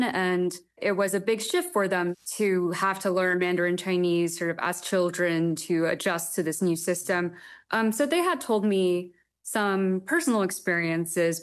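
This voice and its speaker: A young woman from Taiwan, a literary translator, answering interview questions thoughtfully with frequent pauses and ums. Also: fairly low pitch. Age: young adult. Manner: hesitant, thoughtful, many ums.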